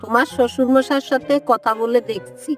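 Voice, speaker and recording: A woman's voice: fairly high pitch, fairly even intonation, fairly quick, soft background music, close to an everyday voice. A woman voicing a hospitable, doting older mother-in-law who coaxes in a motherly way in a comedy cartoon. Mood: motherly, firm. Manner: coaxing, motherly.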